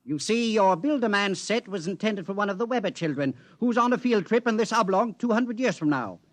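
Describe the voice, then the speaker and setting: A high-voiced, fussy radio bureaucrat. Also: male voice, lively intonation, fairly quick, no room sound. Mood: formal. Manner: precise, formal, pedantic. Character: fussy, officious male census keeper from the future. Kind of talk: 1950s radio drama acting. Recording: 1950s radio broadcast recording.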